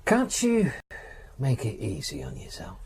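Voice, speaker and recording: Patronising prison official, lively pitch. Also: male voice, slight background noise, exaggerated voice. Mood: exasperated. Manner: patronising, measured authority, probing questions. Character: male prison official, patronising, exasperated, coldly reasonable. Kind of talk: radio drama acting.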